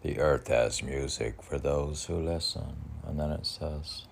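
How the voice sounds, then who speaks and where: A man rambling through a podcast of chat and poetry reading, halting and often talking to himself in questions and answers. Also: a bass voice, gravelly, a little hiss or hum. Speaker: poet reading and chatting on own podcast.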